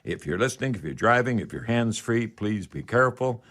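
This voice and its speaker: A man's voice: mid-range voice, lively intonation, a little fast, fairly bright tone. A man hosting a casual live radio show, talking in a folksy, rambling way.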